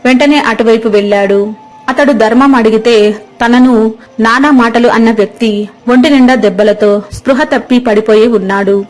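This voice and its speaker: A woman's voice: fairly high pitch, a little fast. A woman storyteller giving scripted narration of a tale. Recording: music under the voice. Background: background music.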